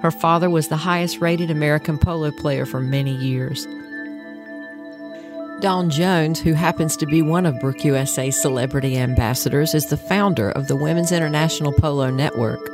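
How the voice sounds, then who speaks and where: A woman's voice: low voice, moderate pace, music in the background. A woman hosting a podcast, reading a scripted introduction and asking her guests polished, upbeat questions. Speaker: podcast host.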